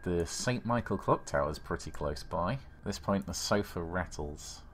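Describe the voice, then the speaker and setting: A man's voice: low-pitched, lively intonation, moderate pace, a little hiss or hum. A man improvising narration as game master of a tabletop role-playing game, speaking informally with some swearing.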